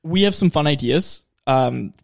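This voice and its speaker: Twenty-something guy cohosting a pod, kinda high voice, chill and jokey. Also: expressive, unhurried, vocal fry, darkish tone. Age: 20s. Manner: joking, plugs the show's perks. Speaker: podcast co-host. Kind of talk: casual chat.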